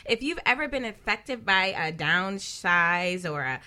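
Bright-voiced woman co-hosting radio talk. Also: medium pitch, sing-song, a little slow. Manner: rhetorical questions, explanatory. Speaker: radio show co-host and financial advisor.

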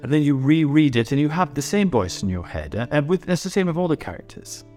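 Older guy in his sixties chatting for an interview, bit halting, repeats himself, some guitar music under him. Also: fairly high pitch, animated, mellow. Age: 60s. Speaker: writer and voice actor. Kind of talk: casual reflective interview talk. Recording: music behind the voice. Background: plucked guitar music.

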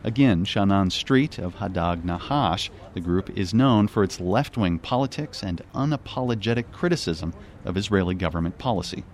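A man narrating a radio news report in a measured, scripted delivery. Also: low-pitched, lively intonation, darkish tone.

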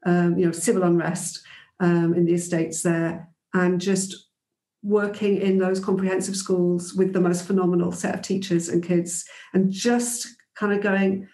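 A woman's voice: lowish voice, little pitch movement, a little slow, mellow, roomy sound. A woman guest in an interview, reflecting thoughtfully on her teaching days, with frequent ums and restarts. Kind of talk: reflective conversational storytelling.

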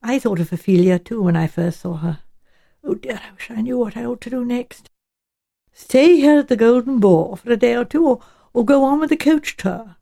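A woman's voice: a little high, lively intonation, moderate pace, gravelly, warm tone. A woman voicing an elderly English lady sleuth in an audiobook, fluttery and polite, speaking in a hesitant, genteel, musing way. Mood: musing, uncertain. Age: older.

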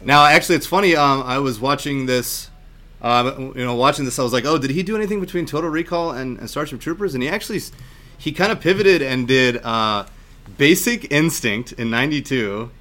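Enthusiastic man with a bright voice. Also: medium pitch, a little fast. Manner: enthusiastic, conversational, loosely wandering. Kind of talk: casual film chat.

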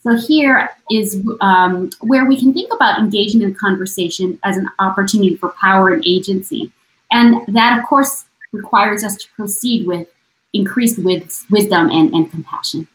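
A woman giving reflective panel remarks as a scholar, in a measured, earnest and deliberate way. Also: medium pitch. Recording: roomy, echoing voice pickup.

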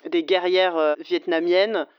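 A woman's voice: lowish voice, little pitch movement, takes it slowly, clean tone, dry recording, highs cut off. A woman in casual conversation who talks chattily with frequent hesitations and laughs easily. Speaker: podcast co-host.

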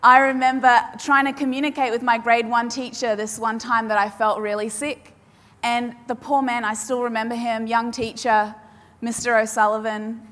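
A woman preacher, a native Polish speaker, giving an emphatic sermon to a congregation and repeating key phrases with dramatic pauses. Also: fairly high pitch, little pitch movement, fairly bright tone. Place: large hall with audience. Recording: long hall reverb.

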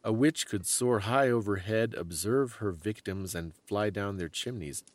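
Man reading as a grave old grandmother, low-voiced. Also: measured pace, dry recording, close to an everyday voice. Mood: ominous. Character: grave old Russian grandmother warning of witches.

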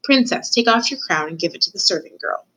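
A woman's voice: medium pitch, lively intonation, fast talker, pushed and bright, rough recording. A woman voicing a scheming, cruel male rat from a storybook, with a commanding, formal and sneering manner. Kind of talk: storybook character voice.

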